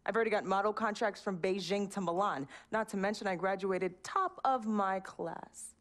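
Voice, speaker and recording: A sassy, self-assured young woman, played by an actress in a basketball game cutscene. She answers back in a middle-pitched voice with easy confidence. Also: moderate pace, close to an everyday voice. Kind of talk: video game cutscene acting.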